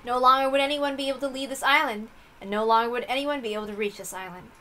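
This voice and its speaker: A woman with a high-pitched, bright voice reads aloud from a visual novel. Her delivery is scripted narration, told dramatically as a story. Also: fairly even intonation, fast talker.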